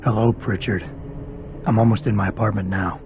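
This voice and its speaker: An actor playing a gruff, stoic, sardonic security operative in a video game cutscene, terse and gravelly. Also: male voice, fairly low pitch, fairly even intonation, moderate pace, noisy background, close to an everyday voice. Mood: terse, dry. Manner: terse, dry sarcasm.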